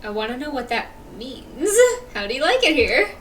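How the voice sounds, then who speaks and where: A woman's voice: high-pitched, big pitch swings, moderate pace, a bit bright, distant mic, soft background music. A woman livestreaming a video game with casual commentary, reading dialogue choices aloud.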